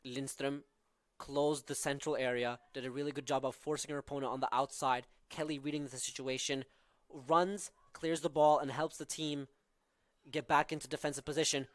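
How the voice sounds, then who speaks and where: A man's voice: mid-range voice, flat delivery, moderate pace, no room sound. A young man from southern Norway with a Norwegian accent, calling live college soccer with tactical analysis and frequent fillers. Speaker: play-by-play commentator.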